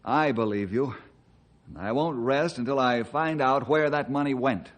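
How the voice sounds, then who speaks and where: A man playing a steadfast, fair-minded ranger in an old-time radio western, speaking earnestly and deliberately. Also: mid-range voice, slight background noise, natural voice. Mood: grave, reassuring.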